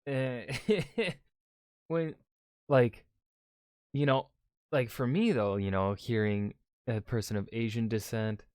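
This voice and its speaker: A man with a Midwestern American accent giving casual reaction commentary, halting and searching for words with many fillers. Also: mid-range voice, darkish tone, clear, resonant tone, dry recording, studio quality. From United States. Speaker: historian reacting to videos.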